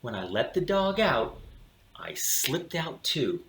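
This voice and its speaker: Slow, animated male storyteller in echoey room. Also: medium pitch. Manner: theatrical, with deliberate dramatic pauses. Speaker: storybook reader for children. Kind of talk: expressive read-aloud of a children's picture book. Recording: roomy, echoing room sound.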